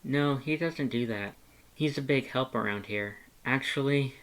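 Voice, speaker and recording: A narrator voicing a friendly, sheepish animal shelter worker in an audiobook, low-key and slightly hesitant. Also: unhurried, natural voice, poor audio. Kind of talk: second-person character dialogue in audiobook.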